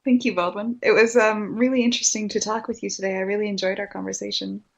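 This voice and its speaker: Woman host of an interview podcast, mid-pitched voice, asks short, courteous questions. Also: steady tone, fast, vocal fry. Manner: brief, polite questions.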